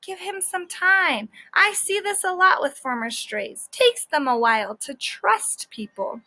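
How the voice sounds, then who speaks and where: A woman's voice: extremely high pitch, animated, measured pace, sharp tone, no room sound, pitched above the performer's own voice, exaggerated voice. A woman voicing a stern, knowing dog trainer in an emphatic, authoritative way for a children's storybook. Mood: firm, advising. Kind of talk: children's book read-aloud.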